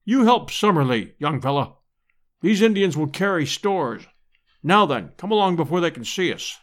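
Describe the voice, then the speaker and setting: A man voicing a bold, breezy gentleman adventurer in an audiobook, speaking in clipped upper-class slang. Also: fairly high pitch, very animated, moderate pace. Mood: brisk, urgent. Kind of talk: dramatised audiobook character reading.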